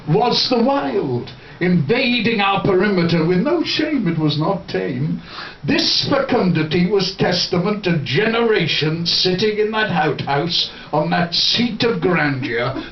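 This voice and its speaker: A man reading poetry live in a theatrical, deliberate way, recorded at a distance in a bar. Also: sits high. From Wales. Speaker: poet. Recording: roomy, distant, muffled, faint noise.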